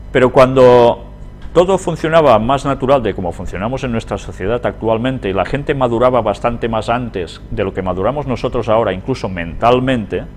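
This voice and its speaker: A man with an accent from Spain giving a religious lecture as a teacher, reading aloud and commenting conversationally, often putting questions to his listeners. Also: fairly low pitch. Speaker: Bible prophecy teacher.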